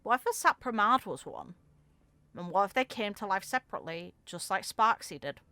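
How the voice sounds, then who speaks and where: Casting: female game content creator, casual musing commentary, mid-pitched and bright, very dry sound. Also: animated, brisk, dry recording. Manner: informal, musing aloud.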